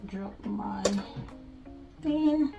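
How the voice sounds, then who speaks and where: A woman's voice: medium pitch, animated, extremely slow, creaky, lo-fi sound, over music. A woman in her thirties with a Nigerian accent, casually talking through a sewing project step by step and thinking aloud as she works. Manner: chatty, thinking aloud while working. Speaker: sewing tutorial host. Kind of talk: casual step-by-step crafting tutorial.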